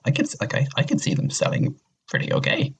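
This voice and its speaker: A man chatting casually as a podcast panelist, often dropping "like" into his sentences, with noise behind his voice. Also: quick pace. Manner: peppers speech with "like". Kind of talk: casual chat.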